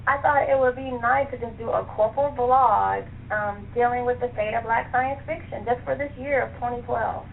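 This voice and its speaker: Chatty American woman guest for a casual radio interview, highish creaky voice, thin telephone audio with faint noise. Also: moderate pace, few pauses. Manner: chatty, laughs often. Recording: tinny phone line with faint noise.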